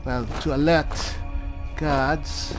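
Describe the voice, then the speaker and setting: A man's voice: on the high side, steady tone, drawn-out words. A man doing in-character gameplay commentary, musing to himself in short remarks. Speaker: gamer narrating a roleplay playthrough. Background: music behind the voice.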